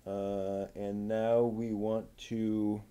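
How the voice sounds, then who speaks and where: A man quietly talking himself through assembling a plastic model kit, half-mumbling as he works. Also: on the low side, level tone, clean tone. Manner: mumbling to himself while working, unhurried. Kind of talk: muttered build commentary.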